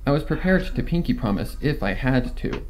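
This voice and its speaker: A man's voice: medium pitch, animated, brisk, slight background noise, poor audio. A man giving an intense, dramatic first-person narration of a scripted story.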